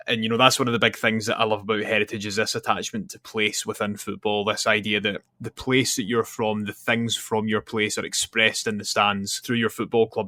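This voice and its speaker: A man's voice: lowish voice, bright, forceful tone, no echo, clean studio sound. A man hosting a football podcast, talking enthusiastically and thinking aloud with many asides. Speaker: podcast host. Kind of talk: conversational interview.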